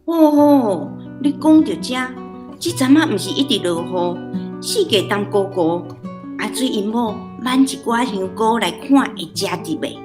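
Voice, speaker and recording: An actress with a Taiwanese accent playing a chatty, easygoing elderly village granny in a radio drama. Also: female voice, medium pitch, lively intonation, a little slow, music in the background, natural voice. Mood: gossipy.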